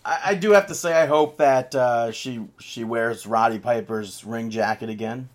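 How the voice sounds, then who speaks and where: A man's voice: mid-range voice, normal speed. Rambling, jokey man on a podcast. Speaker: podcast co-host. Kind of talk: casual wrestling chat.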